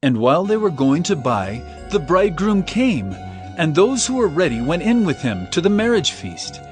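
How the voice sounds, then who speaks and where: A man's voice: fairly high pitch, sing-song, normal speed, faint music behind. A man narrating scripture in a scripted, dramatized style.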